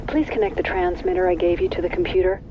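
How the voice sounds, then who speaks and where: A woman's voice: fairly low pitch, fairly even intonation, quick pace. A woman playing a composed, businesslike mission helper in a video game, heard through a radio filter. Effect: radio transmitter filter. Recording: dull radio line, faint noise.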